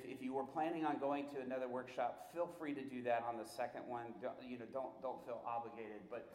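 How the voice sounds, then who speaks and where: A man's voice: medium pitch, a little mellow. Middle-aged man teaching in an echoey room. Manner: conversational, halting, often restarts and repeats himself. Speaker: church workshop teacher. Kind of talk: informal teaching talk to a group.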